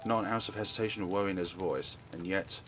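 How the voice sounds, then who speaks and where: A man's voice: deep voice, steady tone, fast talker, a bit bright, slight background noise. A man reading a visual novel aloud in a dramatic narrating style as a let's play host. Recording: music playing behind. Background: game music.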